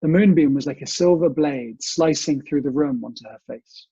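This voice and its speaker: Husky, mellow man. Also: a little high, normal speed. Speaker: guest reader. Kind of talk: storybook reading and casual chat. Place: indoors, remote online session. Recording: muffled, echoey video call audio.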